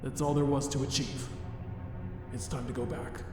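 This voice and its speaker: Comic-dub drama: despairing, formal skeleton scientist male actor, deliberate and resigned, long hall reverb with music behind. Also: medium pitch, flat delivery, lightly acted. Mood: weary, resigned. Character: despairing, weary, self-loathing scientist, male skeleton monster.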